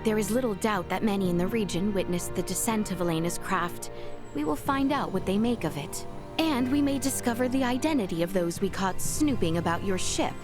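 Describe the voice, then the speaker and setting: A woman's voice: mid-range voice, animated, a little fast, music in the background, close to an everyday voice. An actress playing a noble young woman in a video game, speaking in a formal, old-fashioned, composed and resolute way.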